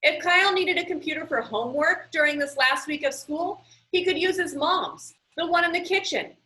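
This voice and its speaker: A woman reading a children's book aloud in an expressive storytelling style, animated and emphatic. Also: very high voice, moderate pace.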